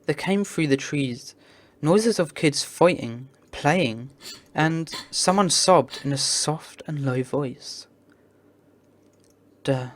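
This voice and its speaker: A woman's voice: deep voice, takes it slowly. A woman narrating a visual novel as a read-aloud story, voicing the dialogue herself. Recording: music behind the voice. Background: music.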